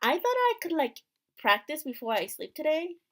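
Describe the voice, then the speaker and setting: A woman voicing an eager, scatterbrained young princess, girlish and halting, with "like" peppered through her sentences. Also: sits high, sing-song, voice pushed up. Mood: sheepish, eager.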